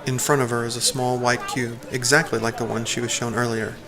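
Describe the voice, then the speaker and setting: A man's voice: mid-range voice, level tone, a little fast, crowd noise. A man reading scripted, descriptive narration for an audio drama. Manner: descriptive, present-tense storytelling. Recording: music behind narration.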